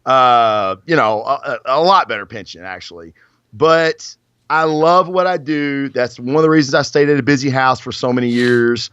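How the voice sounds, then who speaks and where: A man's voice: medium pitch, moderate pace, fairly bright tone, smooth, no room sound. A man in his forties, a firefighter guest, telling stories in a rambling, casual way. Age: 40s. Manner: rambling storytelling with many fillers. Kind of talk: casual podcast chat. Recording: dull dry lo-fi audio.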